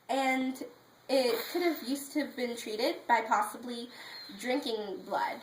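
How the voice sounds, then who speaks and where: Female presenter, slightly high voice, explanatory and a bit halting, informative speech recorded in a roomy space. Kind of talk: prepared informative speech with slides. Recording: echoey room with faint background noise.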